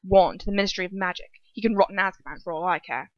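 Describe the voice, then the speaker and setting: A woman's voice: on the low side, fast, no room sound, dull audio, close to an everyday voice. Woman playing an angry, arrogant young wizard. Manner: hissed, snarling.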